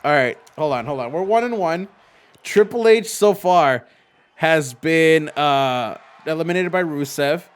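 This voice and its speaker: A young man hosting a game stream, chatting casually and commenting on the play in a slangy way that trails off into quick restarts. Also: on the high side, lively intonation, smooth. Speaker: streamer host.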